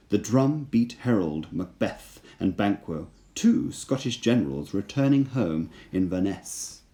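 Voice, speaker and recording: A man's voice: fairly low pitch, warm tone. A man reading a storybook aloud as a storyteller, with dramatic scripted narration.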